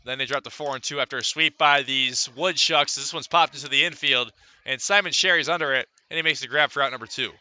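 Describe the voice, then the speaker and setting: A man does live radio baseball play-by-play in a very bright, forceful voice. His pitch sits in the middle, and he delivers each detail as sports commentary, clicking along from stats to action. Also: fast.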